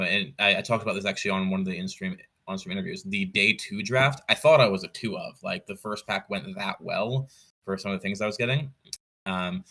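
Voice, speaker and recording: Low, bright-voiced man chatting in interview. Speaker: podcast guest, competitive card game player. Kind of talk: casual interview storytelling.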